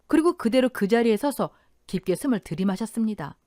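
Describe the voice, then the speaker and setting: A woman telling a first-person radio drama story with dramatic, expressive delivery. Her voice sits somewhat high and swings widely in pitch. The recording is dry and close, with no room sound. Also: brisk, no echo. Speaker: narrator.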